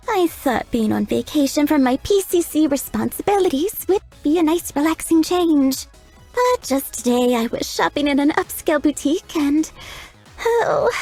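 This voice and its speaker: Giggly flirty maid. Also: female voice, high voice, a little mellow. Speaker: chambermaid character. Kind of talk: video game voice lines.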